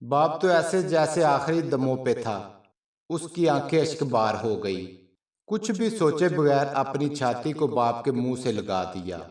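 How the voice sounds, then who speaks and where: Slow-paced male storyteller in a roomy echo. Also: medium pitch, animated, a little mellow. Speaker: channel narrator. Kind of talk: scripted story narration. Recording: roomy echo, distant from mic.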